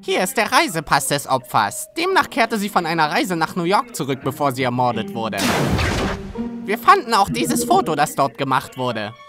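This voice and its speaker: A woman's voice: deep voice, big pitch swings, moderate pace. Woman voicing a smug, formal male prosecutor. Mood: smug, probing. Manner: formal, precise, condescending. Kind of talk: animated courtroom fan dub acting. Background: background music.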